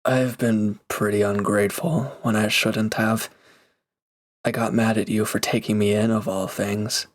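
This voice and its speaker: A man's voice: lowish voice, little pitch movement, measured pace, close to an everyday voice. A man playing a reformed villain turned mercenary in an intimate roleplay audio drama, speaking casually and hesitantly with awkward, earnest gratitude. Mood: sheepish, grateful.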